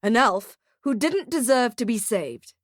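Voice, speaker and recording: A woman's voice: a little high, lively intonation, measured pace, no room sound, clean studio sound. Woman acting an emphatic, indignant male boss. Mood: dark indignation.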